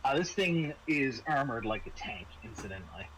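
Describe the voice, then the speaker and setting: A man working as game master, improvising horror narration in a halting, conversational way. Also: mid-range voice, very animated, fairly bright tone, slight background noise, low-quality recording.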